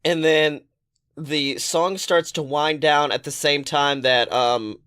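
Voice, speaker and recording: A man co-hosting a podcast, chatting casually in an animated, rambling, profane storytelling manner. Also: on the high side, takes it slowly, clear, resonant tone. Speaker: podcast co-host. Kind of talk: casual pop-culture chat.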